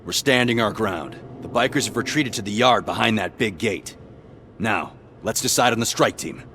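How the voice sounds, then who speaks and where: A man playing a practical, gruff police officer in a video game, talking bluntly and casually. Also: on the low side, moderate pace, pushed and bright, a little hiss or hum. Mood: businesslike. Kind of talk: video game cutscene acting.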